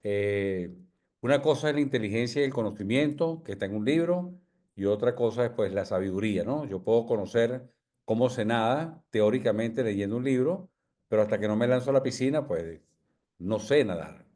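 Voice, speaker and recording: A man in his sixties with a Venezuelan accent, a physician answering interview questions in a measured, humble way, with many pauses and tag questions. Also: medium pitch, dark tone. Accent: Venezuelan Spanish. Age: 60s. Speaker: physician guest.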